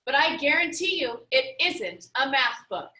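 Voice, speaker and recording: Woman reading as an impatient, animated girl. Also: sits high, measured pace, heavily acted. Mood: brisk. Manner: emphatic, impatient. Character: impatient, blunt, know-it-all girl on a game team. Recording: roomy, echoey sound.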